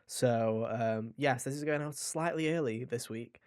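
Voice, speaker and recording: A man's voice: medium pitch, measured pace, a little mellow, dry recording. A man hosting a podcast in a casual, chatty way, with a jokey, mock-dramatic manner, close to the microphone. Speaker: podcast host.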